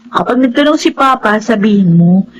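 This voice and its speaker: A woman's voice: mid-range voice, lively intonation, normal speed, husky, dull audio. A woman acting a carefree, cheeky young older sister in a Filipino radio drama, with a breezy, teasing, casual delivery. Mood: breezy, affectionate. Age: young adult.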